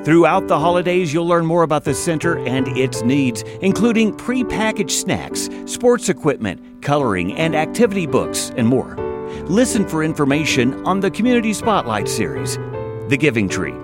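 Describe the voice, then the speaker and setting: A man's voice: on the high side, sing-song, moderate pace. A man reading a scripted sponsor announcement for radio. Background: plucked guitar music.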